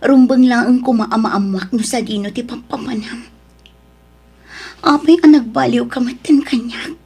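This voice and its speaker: A woman's voice: fairly high pitch, measured pace, a little mellow, husky. A woman with an Ilocano accent playing a hurt, pleading wife in an audio drama.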